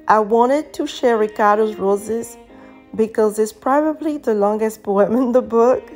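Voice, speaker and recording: A woman with a French accent reads her own poetry aloud, unhurried and unforced. Her voice is soft and reflective, at an everyday pitch. Faint music plays quietly behind her. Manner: gentle, reflective, soft-spoken. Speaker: poet reading her own work. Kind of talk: slow poetry reading.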